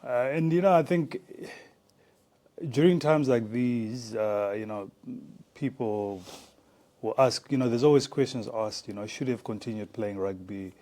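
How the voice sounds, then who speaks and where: A man's voice: mid-range voice, measured pace, mellow. A man in his forties from Zimbabwe, a former rugby player, talking casually as an interview guest with many fillers and restarts.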